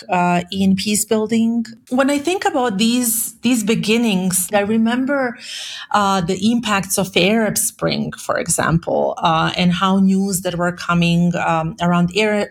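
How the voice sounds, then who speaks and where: A woman from Serbia, an English speaker, answering interview questions thoughtfully with many ums and uhs. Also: fairly low pitch. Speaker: guest, peace-tech founder.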